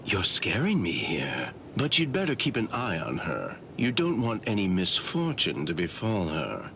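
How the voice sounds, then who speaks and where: An actor playing a sly, falsely polite captain in an anime game, teasing and insinuating. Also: male voice, low-pitched, huge pitch swings, unhurried, a bit bright, a little hiss or hum, exaggerated voice. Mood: mocking politeness.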